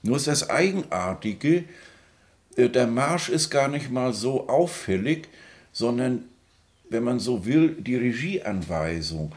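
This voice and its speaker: A man's voice: mid-range voice, very animated, slow, a little mellow. A man giving informal commentary, searching for his words as he goes and often checking for agreement. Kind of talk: informal spoken commentary on a composer.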